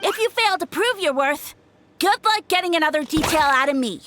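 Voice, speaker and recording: Lady voicing a pompous lil mushroom guy, high bright voice that swoops around, full of himself. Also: lively intonation, moderate pace, slight background noise. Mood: huffy. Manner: grandiose, evasive, theatrical. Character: boastful male mushroom spirit, self-styled savior. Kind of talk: game voice acting.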